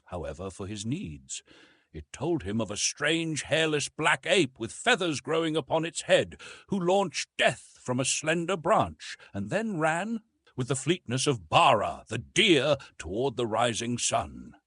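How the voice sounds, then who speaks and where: Husky male storyteller, widely swinging pitch. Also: sing-song, a little slow, fairly bright tone, no echo. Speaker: audiobook narrator. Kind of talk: dramatic scripted narration of an adventure novel.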